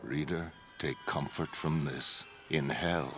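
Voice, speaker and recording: A man's voice: extremely low pitch, steady tone, takes it slowly, over music. A man playing a despairing shipwrecked mariner, narrating a horror tale solemnly in archaic literary phrasing. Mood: bleak, mournful. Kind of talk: scripted dramatic narration.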